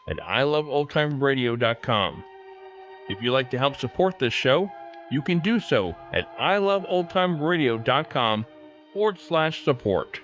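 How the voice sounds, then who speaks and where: A man's voice: a little high, a bit bright, lo-fi sound. A man hosting a podcast, reading scripted commentary and announcements. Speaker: podcast host. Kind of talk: scripted podcast narration and sign-off. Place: dry close recording, faint music behind.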